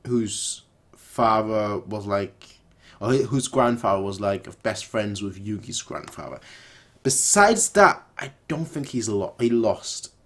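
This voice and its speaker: A man's voice: mid-range voice, fairly bright tone. A man with a mid-range voice talks off the cuff as a reaction video host. He rambles and doubles back on himself. His opinions come out emphatically, with key phrases said over again. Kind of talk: casual off-the-cuff commentary.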